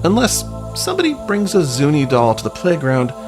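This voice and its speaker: A man's voice: a little high, huge pitch swings, a little fast, music in the background. A man hosting a podcast in a casual, wry, self-deprecating and informal way. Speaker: podcast host. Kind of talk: casual podcast talk with read-out news items.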